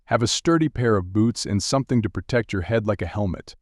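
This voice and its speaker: A man acting as a steady TV weather broadcaster with a fairly low voice, recorded dry and close. He speaks straight to viewers in live severe-weather coverage, giving direct, urgent instructions. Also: a little fast, no room sound, close to an everyday voice. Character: steady, urgent male TV meteorologist guiding viewers through storms.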